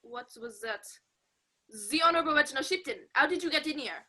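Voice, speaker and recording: A woman's voice: high-pitched, animated, a little fast, sharp tone. A woman playing a haughty, stern French police inspector in a radio play, formal and indignant. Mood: accusing.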